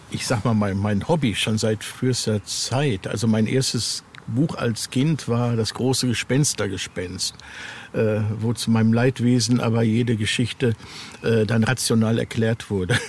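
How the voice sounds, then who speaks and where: A middle-aged or older man giving conversational, halting interview answers as a horror author. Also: mid-range voice, steady tone. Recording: clear ambient background noise.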